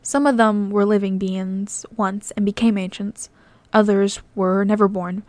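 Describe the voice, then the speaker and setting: A woman voicing an earnest young half-ghost man who explains himself slowly and gently in a storybook reading. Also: medium pitch, fairly even intonation, no room sound, natural voice. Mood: earnest, explanatory.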